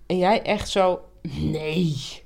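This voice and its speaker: A woman's voice: lowish voice, steady tone, very slow, creaky, darkish tone. A woman chatting casually as a podcast co-host. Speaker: writing teacher. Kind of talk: casual chat. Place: indoors on a couch.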